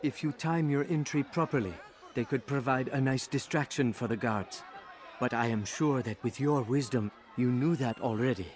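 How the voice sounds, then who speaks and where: Guy doing humble eager informant in a game, medium pitch, flattering, deferential to his master. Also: normal speed, lightly acted. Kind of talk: video game voice acting.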